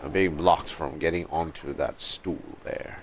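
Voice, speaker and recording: A man's voice: extremely low pitch, fairly even intonation, measured pace. A man doing casual live commentary as a game streamer, muttering and musing aloud over faint game noise.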